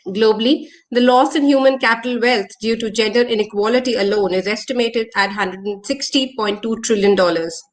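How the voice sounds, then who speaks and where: A woman's voice: fairly high pitch, fairly quick, few pauses. A woman moderating a panel discussion, reading a formal introduction and calling on speakers.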